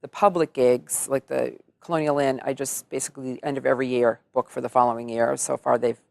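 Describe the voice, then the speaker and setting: A woman's voice: very deep voice, steady tone, fairly quick, gravelly, a little mellow, no room sound. A middle-aged woman, chatting upbeat about her work as an entertainer in an interview. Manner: chatty, upbeat, laughs easily. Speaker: entertainer and singer. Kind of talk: casual interview chat.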